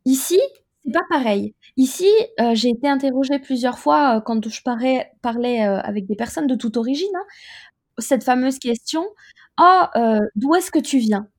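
A woman in her thirties, originally from Côte d'Ivoire, answers interview questions about her own life. Her voice sits high and clear, and she speaks in a thoughtful, measured way, pausing often to find her words. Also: animated. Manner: reflective, measured, with frequent hesitations. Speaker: interview guest. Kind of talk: personal testimony in an interview.

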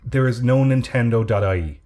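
A man chatting casually on a podcast, telling a drawn-out story with many restarts. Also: mid-range voice, mellow. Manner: rambling storyteller with frequent restarts. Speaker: podcast co-host. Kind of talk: casual chat.

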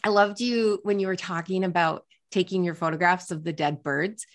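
A woman's voice: lowish voice, fairly even intonation, normal speed. A woman hosting a podcast interview, talking in a reflective, encouraging way with lots of "like" fillers. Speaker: podcast host. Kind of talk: casual interview chat.

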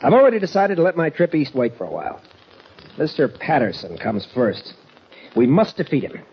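A man's voice: a little high, very animated, brisk, slightly rough, heavily acted. An actor playing a brash, eager rancher in his late twenties, breezy and emphatic, in an old-time radio Western. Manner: breezy, emphatic, self-assured. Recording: muffled old radio recording.

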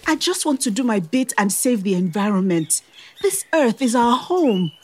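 An earnest environmental activist in an audio drama. She is determined and insistent, with a high voice that rises and falls sharply. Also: sing-song, moderate pace, slight background noise. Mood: frustrated.

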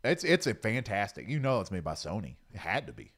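A man's voice: on the low side, big pitch swings, fairly quick, fairly bright tone. A man co-hosting a casual podcast, riffing with mock-serious sarcasm. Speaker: podcast co-host. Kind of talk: casual comedic chat.

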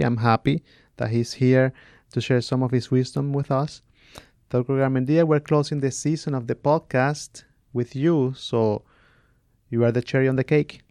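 A man's voice: mid-range voice, a little mellow, no room sound, clean studio sound. A man hosting a podcast, interviewing a guest in an easygoing, friendly way. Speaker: podcast host.

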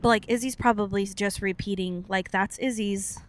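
A woman's voice: mid-range voice, steady tone, moderate pace. A young woman co-hosting a podcast, chatting casually and a bit long-windedly, with frequent laughs and "like" fillers. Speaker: podcast co-host. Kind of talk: casual chat.

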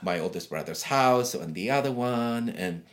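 A man's voice: medium pitch, expressive, normal speed. A middle-aged man from Eritrea, whose first language is Tigrinya, chatting easily in an interview and telling stories about his home with restarts and laughter. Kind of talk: casual conversational interview.

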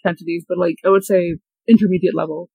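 A woman's voice: lowish voice, fairly even intonation, creaky, darkish tone, no echo, poor audio. A woman in her mid-twenties from Hong Kong, a guest chatting on a call with many fillers and nervous laughs. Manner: quick, chatty, lots of fillers and self-deprecating laughs. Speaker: interview guest. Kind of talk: casual interview on a call. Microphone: video call.

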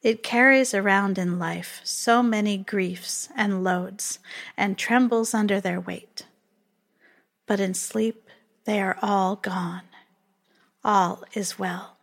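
A woman reading poetry aloud in a measured style. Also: mid-range voice, steady tone, gravelly. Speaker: poetry reader.

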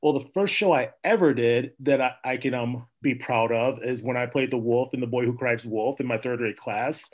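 A young man with a mid-range voice, chatting as a podcast guest. He rambles easily, with lots of "like" and "um" and a quick laugh, and tells stories about his theater start. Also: mid-range voice. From Minnesota, US. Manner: rambling, with many fillers and laughs. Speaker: podcast guest, actor and playwright. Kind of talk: casual interview chat.

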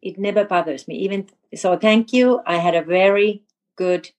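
A woman's voice: medium pitch, moderate pace, darkish tone. A woman, chatting casually as a podcast guest. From Finland. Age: 50s. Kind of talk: casual conversational interview.

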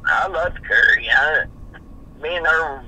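A man, answering questions on a phone call in a halting, stammering way. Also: a little high, sharp tone, slightly rough. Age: 50s. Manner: halting, stammering, repeats himself. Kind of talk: casual phone conversation.

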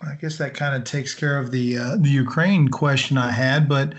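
A man hosting a podcast, asking his guest questions in a friendly, halting way. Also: mid-range voice, fairly even intonation, dull audio. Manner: friendly, halting, many ums and restarts. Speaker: podcast host. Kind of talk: casual interview.

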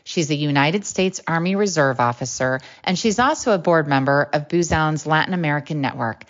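A middle-aged woman with a fairly low voice hosts a podcast interview. She asks her questions in a measured, thoughtful way. The audio is slightly dull and lo-fi. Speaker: podcast host. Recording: muffled lo-fi audio.

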